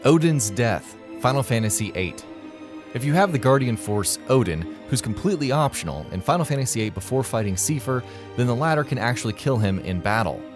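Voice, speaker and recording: A man's voice: medium pitch, expressive, a little fast. Male narrator for a scripted gaming countdown, middle register, light music bed. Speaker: narrator of a video game list.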